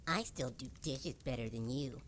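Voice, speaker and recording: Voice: mid-range voice, normal speed, a bit bright, natural voice. An actor voicing a small boy sprite, bratty, teasing and childish, in a fantasy audio roleplay. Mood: taunting. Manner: taunting, childish.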